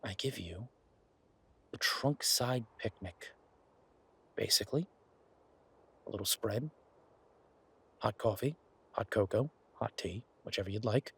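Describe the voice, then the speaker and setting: Casting: male actor as devoted chef boyfriend for intimate audio roleplay, lowish husky voice, playful and earnest. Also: measured pace, long pauses, fairly bright tone, exaggerated voice. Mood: eager, affectionate. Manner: teasing, earnest, rambling self-justification. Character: affectionate eager chef boyfriend, playful, food-loving, werewolf romance.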